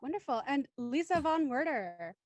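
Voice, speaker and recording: A woman's voice: high-pitched, very animated, normal speed, no echo. A woman hosting a panel, explaining the format and handing over to each guest. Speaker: host. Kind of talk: moderating a panel, introducing guests.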